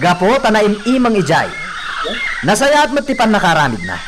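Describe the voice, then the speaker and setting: An actor playing a cruel, domineering young master who gloats menacingly in a radio drama. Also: male voice, sits high, wildly swooping pitch, normal speed, background noise. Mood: commanding. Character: cruel young master lording over mine workers.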